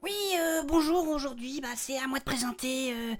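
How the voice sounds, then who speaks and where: A spirited young-sounding heroine of a fantasy audio comedy, acted by a woman. Her voice is high and she speaks in a brisk, exclamatory way. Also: faint music behind, close to an everyday voice. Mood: flustered.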